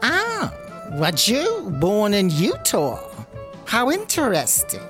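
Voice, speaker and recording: A chatty woman rabbi who hosts and matchmakes in a comedy audio drama. Her voice leaps up and down dramatically and takes its time, gushing and coy, over soft music. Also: medium pitch, wildly swooping pitch, slow pace. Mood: chatty hospitality. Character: effusive matchmaking woman rabbi, chatty, secretly scheming host.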